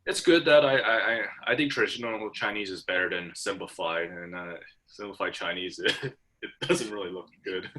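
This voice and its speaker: A man's voice: sits low, bright, forceful tone. A young man from Hong Kong chatting casually with his professor, full of fillers and restarts. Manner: halting, many fillers and restarts. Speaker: student. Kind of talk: casual conversation.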